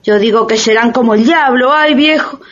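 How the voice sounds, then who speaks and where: An actress playing a naive, plain-spoken working-class housewife in radio play dialogue. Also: female voice, a little high, moderate pace, a bit bright. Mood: distressed. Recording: dull lo-fi radio drama.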